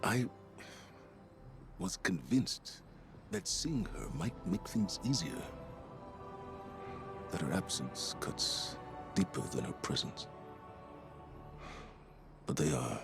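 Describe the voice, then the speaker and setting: An actor playing a weary, guilt-ridden veteran military commander in a video game, brooding and deliberate in delivery. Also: male voice, sing-song, music in the background, exaggerated voice. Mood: hollow, sorrowful. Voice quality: deep, gravelly. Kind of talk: dramatic video game acting.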